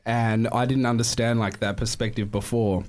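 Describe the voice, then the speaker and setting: A man, chatting loudly and rambling as a podcast guest, talking into a studio mic on a boom arm. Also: fairly even intonation, moderate pace. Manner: loud, rambling and self-deprecating. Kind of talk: casual chat. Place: dark studio room with a party table.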